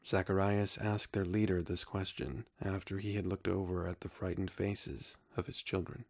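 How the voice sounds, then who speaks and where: A man narrating a scripted sci-fi story in a soft, unhurried, solemn manner. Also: low voice, flat delivery, vocal fry, dry recording, clean studio sound.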